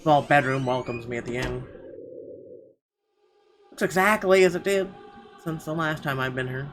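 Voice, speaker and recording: A man's voice: a little high, moderate pace. A man reading a visual novel's first-person narration aloud as a let's player, with dramatized muttered asides. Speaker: video game let's player. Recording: clearly noisy background.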